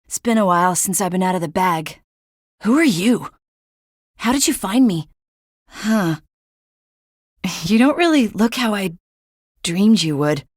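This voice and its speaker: A woman's voice: medium pitch, lively intonation, a little slow, pauses often, no room sound, clean studio sound. A woman voicing a wistful, wondering video game character.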